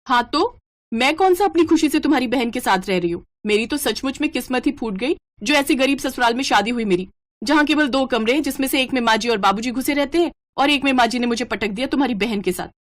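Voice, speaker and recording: A woman voicing a frustrated, sharp-tongued young new bride in a story, complaining and scolding. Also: high voice, animated, fast talker. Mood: bitter, complaining.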